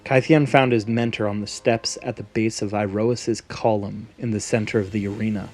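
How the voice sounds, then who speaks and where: A man's voice: fairly low pitch, steady tone, moderate pace, a little mellow. A man narrating a scripted fantasy story in a dramatic storytelling manner. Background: background music.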